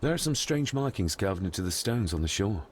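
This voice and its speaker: An actor playing an earnest, polite and inquisitive young gentleman heir of the 1920s in a video game. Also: fairly low pitch, big pitch swings, a little fast, a little hiss or hum. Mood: curious. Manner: polite, questioning. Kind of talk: dramatic game cutscene dialogue.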